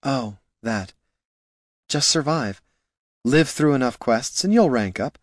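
A man voicing a weary veteran female elf ranger who gives calm, wry advice in a storybook reading. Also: mid-range voice, slow pace, dry recording, studio quality, pitched above the performer's own voice. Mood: matter-of-fact.

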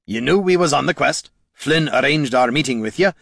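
A man voicing a tough, shrewd male dwarf in an audiobook, folksy and rough-spoken. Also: medium pitch, lively intonation, normal speed, a bit bright, no echo, clean studio sound, pitched above the performer's own voice. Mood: suspicious. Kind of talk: audiobook character dialogue.

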